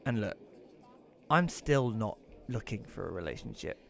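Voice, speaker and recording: A man's voice: fairly low pitch, very animated, moderate pace, creaky. A man playing an awkward, apologetic widower telling his story in an audio drama, with a hesitant, self-conscious manner. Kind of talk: first-person audio drama narration and dialogue.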